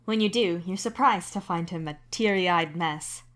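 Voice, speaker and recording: A woman narrates a scripted story in the second person. Her voice is a little on the low side, delivered as an audiobook-style reading. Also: moderate pace, fairly bright tone. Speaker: podfic narrator and author.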